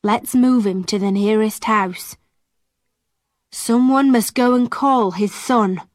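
A woman reading the lines of a calm young village man in a plain, steady voice. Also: a little high, takes it slowly, no echo, studio quality.

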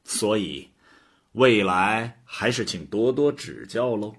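An actor playing God as a calm, hopeful, philosophical creator in a radio drama, with a husky, breathy voice. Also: male voice, lowish voice, full character voice. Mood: gentle, assured. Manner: gentle, philosophical, unhurried.

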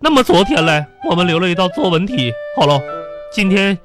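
A woman playing a bossy, exasperated primary-school teacher in a radio comedy, scolding in a singsong with drawn-out sentence endings. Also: lowish voice, very animated, over music. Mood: instructing. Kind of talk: radio sketch comedy acting.

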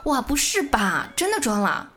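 A woman's voice: sits high, big pitch swings, moderate pace, sharp tone, a little hiss or hum, full character voice. A woman playing an ordinary young office worker, polite and wary, narrating and acting in an amateur suspense audio drama. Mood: casual, surprised. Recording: echoey, light music behind.

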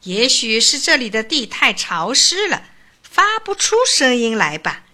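A woman voicing an arrogant, hot-tempered little male mouse who squeaks and shouts in a storybook. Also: very animated, measured pace, exaggerated voice. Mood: self-consoling.

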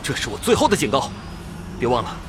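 Proud swordsman acted by a man, wildly animated delivery. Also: medium pitch, quick pace, background noise, lightly acted. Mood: threatening. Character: proud, combative young male sword fighter. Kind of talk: Chinese fantasy radio drama acting.

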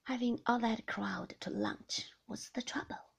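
A woman voicing a weary, troubled upper-class English husband in a slow, breathy whisper. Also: a little high, exaggerated voice. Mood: relieved. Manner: soft, slow and hushed. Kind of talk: whispered audiobook reading.

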